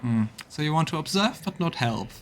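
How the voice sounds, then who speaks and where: A man's voice: fairly high pitch, expressive, brisk. Man commentating on a game over music. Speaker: let's play streamer. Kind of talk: casual game commentary mixed with reading dialogue aloud.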